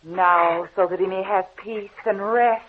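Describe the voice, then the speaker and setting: Through a narrow old radio band comes an actress playing a woman back from the grave. She speaks slowly and solemnly, giving cold, vengeful commands. It is portentous vintage horror drama. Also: medium pitch, steady tone, slight background noise, lightly acted. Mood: insistent, ominous.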